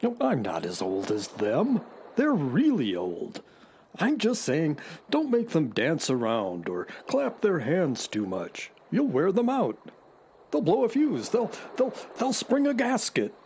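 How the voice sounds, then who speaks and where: A fretful sidekick a low, dark voice that leaps wildly up and down. The figure frets and stammers whenever flustered. Faint background noise sits under the audio drama. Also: female voice, wildly swooping pitch, slow, exaggerated voice. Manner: worried, stammering when flustered.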